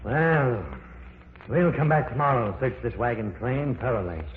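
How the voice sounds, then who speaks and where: An actor playing a calm, learned male professor exploring caverns, measured and reassuring, in an old radio drama. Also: mid-range voice, lightly acted. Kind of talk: 1940s radio acting. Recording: tinny old radio broadcast, noisy, echoey.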